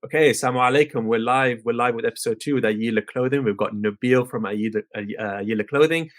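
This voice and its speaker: A man's voice: mid-range voice, fast. A man hosting a business podcast, interviewing a guest in an upbeat, friendly way. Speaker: podcast host.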